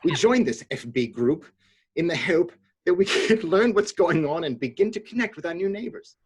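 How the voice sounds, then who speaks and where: A man reading aloud as a fed-up English gentleman, prim and stiff over a video call. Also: a little high, expressive, normal speed, slightly rough, pitched above the performer's own voice. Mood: huffy. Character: fed-up huffy English gentleman, middle-aged.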